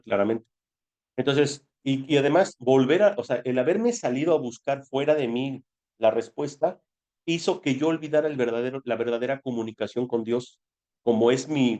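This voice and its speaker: A man's voice: mid-range voice, a little mellow. A man with a Mexican Spanish accent giving an informal spiritual lesson, talking conversationally like a teacher. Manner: conversational, asks rhetorical questions and answers them. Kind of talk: informal explanatory spiritual talk.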